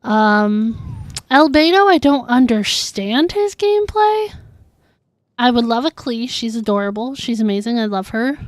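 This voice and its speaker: A woman's voice: fairly high pitch, big pitch swings, unhurried, no echo, clean studio sound. A woman chatting casually and informally while streaming a video game, reacting to viewers as she plays. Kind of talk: casual livestream chat while gaming.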